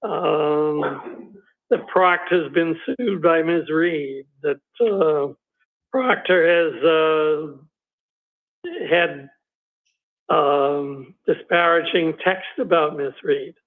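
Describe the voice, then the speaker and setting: A man's voice: fairly high pitch, very slow. A man arguing as a special prosecutor before a judge, hesitant with many ums and uhs, losing his voice. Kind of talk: courtroom argument. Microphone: video call. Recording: tinny phone-like audio from a video call.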